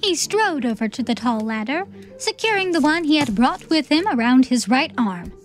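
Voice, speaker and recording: A woman reading scripted story narration for a theatrical audiobook in an expressive, measured manner. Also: high voice, soft background music.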